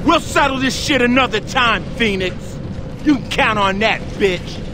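A man's voice: very high voice, unhurried, music in the background. A man playing an enraged, bereaved gang boss in a video game, profane and accusatory. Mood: bitter, threatening.

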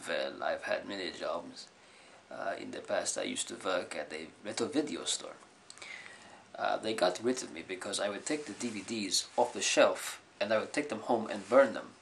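A deadpan, quietly menacing oddball, played by a man in an improv comedy bit. His voice sits low but has a bright, forceful edge. He speaks in halting, stiffly phrased sentences. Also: gravelly, a little hiss or hum.

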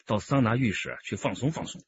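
An actor playing a shrewd, confident, wryly good-humoured police detective chief in a radio drama. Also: male voice, mid-range voice, quick pace, close to an everyday voice. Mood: explaining. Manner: self-assured, bantering. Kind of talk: Chinese radio drama acting. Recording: dull radio-drama recording.

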